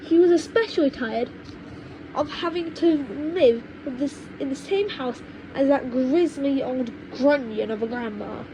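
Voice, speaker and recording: A woman narrating a children's storybook aloud, halting now and then to reread and correct her words on a low-quality home microphone. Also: muffled recording, background noise. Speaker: audiobook reader. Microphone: cheap home microphone.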